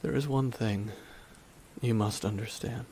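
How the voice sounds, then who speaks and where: A man playing a grieving, resigned supervillain in a dramatic ASMR roleplay, speaking a slow, deliberate, solemn monologue with long pauses. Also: mid-range voice, steady tone, dark tone, slight background noise, natural voice. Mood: somber regret. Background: rain falling.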